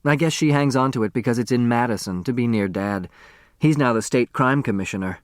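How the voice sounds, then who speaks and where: Guy reading a grown woman lawyer in a novel, brisk but tender, mid pitch, dry close sound. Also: no echo, close to an everyday voice. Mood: fond, upbeat. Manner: matter-of-fact, gently reassuring.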